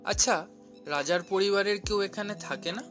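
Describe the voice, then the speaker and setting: A man's voice: high-pitched, normal speed, fairly bright tone, faint music behind. A man voicing an anxious, questioning young male visitor, earnest and colloquial, in a horror cartoon. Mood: curious, skeptical.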